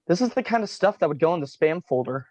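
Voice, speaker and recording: A man reading a quiet, practical young manager in a virtual staged reading, with a dry, exasperated delivery. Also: on the high side, expressive, fast, dry recording, lightly acted. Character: quiet, practical male manager in his twenties. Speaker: also reads stage directions. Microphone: video call.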